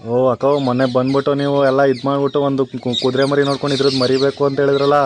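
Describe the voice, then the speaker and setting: Very fast-talking insistent man, noisy call. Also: mid-range voice, monotone. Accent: rural southern Karnataka Kannada. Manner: insistent, pestering, feigning earnestness. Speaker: prank caller. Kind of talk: prank phone call, casual colloquial talk. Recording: noisy phone call recording.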